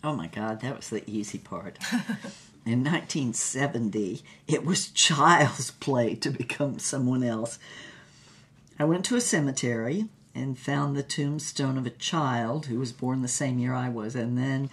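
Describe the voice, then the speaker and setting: An actress playing an older, reflective woman with a wry, emphatic way of telling her mystical life story in a drama. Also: extremely low pitch. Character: old woman, reflective mystical storyteller and former fugitive artist. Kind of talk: dramatic first-person storytelling, acted as conversation.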